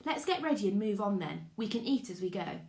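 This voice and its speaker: A woman voicing a brisk, bossy young boy adventurer in a story, with an emphatic delivery. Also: medium pitch, animated, a little mellow, lightly acted. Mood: impatient. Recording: echoey room.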